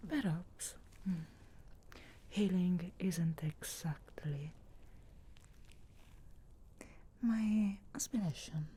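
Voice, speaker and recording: A woman acts out a haughty young witch in a fantasy ASMR roleplay. Her voice is hushed and very breathy, mostly whispered, with a low, soft tone. She speaks extremely slowly, with deliberate pauses and musing hums, sarcastic yet grudgingly caring. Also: exaggerated voice. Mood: sardonic, impatient.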